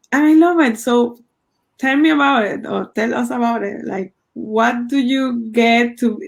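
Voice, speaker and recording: A woman's voice: fairly high pitch, creaky. A woman hosting a podcast, interviewing her guest with eager enthusiasm and frequent exclamations. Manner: enthusiastic, quick, with frequent wows. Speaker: podcast host. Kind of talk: casual interview.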